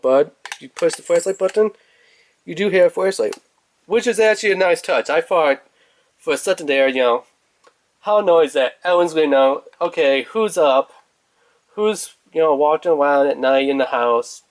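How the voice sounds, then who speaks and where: Guy doing a casual product review, kinda rambly, voice a bit on the high side. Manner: informal, rambling, thinking aloud.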